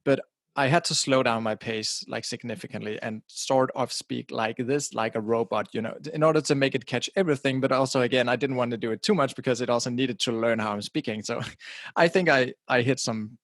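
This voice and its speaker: A man's voice: medium pitch, a little fast, fairly bright tone, dry recording. A middle-aged man from Denmark, not a native English speaker, talking casually as a podcast guest into a close podcasting mic, often repeating words as he restarts. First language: Danish. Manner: casual, repeats words at the start of phrases, laughs now and then. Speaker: guest, website publisher. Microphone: directional podcasting mic close to mouth.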